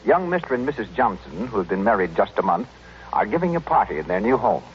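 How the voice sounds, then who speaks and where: A man's voice: on the low side, very animated, quick pace. A man announcing and narrating a scripted advertisement in an old-time radio style. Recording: muffled old radio recording with noise.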